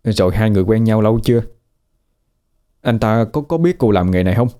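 A man's voice: lowish voice, animated, fairly quick, dark tone, clear, resonant tone, natural voice. A man with a Southern Vietnamese accent playing a talkative, fussy male ghost who grumbles and gets flustered. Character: nosy, chatty male ghost, young man.

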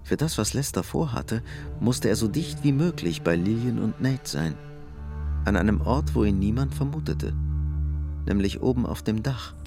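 Low-pitched male narrator over music. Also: very animated, moderate pace. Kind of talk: scripted German radio drama narration.

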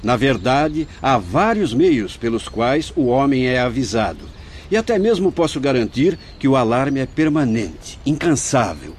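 A man with a Brazilian accent playing a wise, solemn spirit teacher in a radio drama, speaking in a measured, didactic way. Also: mid-range voice, very animated, slight background noise. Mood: solemn, instructive. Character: wise, solemn male spirit teacher addressing younger companions.

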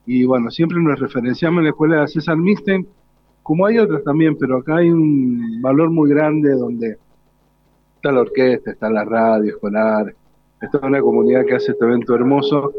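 A man's voice: medium pitch, moderate pace, no room sound. Male interviewer, dry muffled sound. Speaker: radio interviewer. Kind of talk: unscripted live interview. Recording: dull lo-fi live radio recording.

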